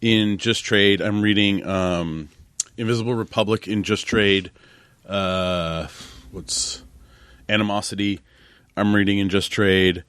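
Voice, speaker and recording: A man's voice: lowish voice, fairly even intonation, takes it slowly, fairly bright tone, clean tone, no room sound. A man co-hosting a podcast, talking casually with friends. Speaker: podcast co-host. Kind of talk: casual chat.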